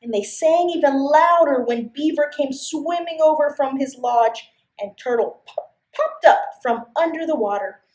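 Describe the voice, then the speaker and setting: A woman reading a story to young children in an expressive, sing-song way, often putting questions to her listeners. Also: high voice, a little slow, reverberant, poor audio. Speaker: library story time host and reader.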